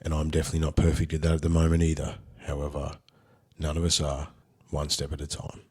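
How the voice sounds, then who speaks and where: An Australian man hosting a podcast intro in a husky voice, a little unwell, talking in a laid-back, candid way. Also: rumbling low, completely flat delivery, quick pace, a little mellow. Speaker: podcast host. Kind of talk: solo spoken intro.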